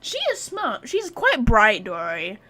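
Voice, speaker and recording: Girl streamer playing a game, kinda high voice, very up and down, chatting back playfully. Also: huge pitch swings, slow, bright, forceful tone. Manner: playful, reacting aloud to characters. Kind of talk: casual gameplay commentary.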